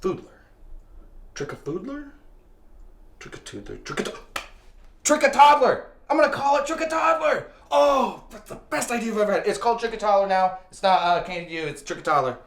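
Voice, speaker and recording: An actor playing a scheming, deluded slacker who pitches startup ideas in a rambling, bro-ish way in a comedic audio drama. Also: male voice, sing-song, a little fast, pauses often, fairly bright tone, slight background noise. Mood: self-delighted. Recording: echoey room with music behind.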